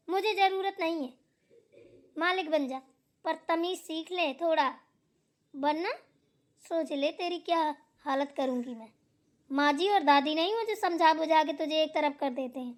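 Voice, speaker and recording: Woman playing a high-pitched bossy elder sister-in-law. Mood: threatening, scornful. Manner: hectoring, sharp-tongued, preachy. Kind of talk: Hindi family drama cartoon dialogue.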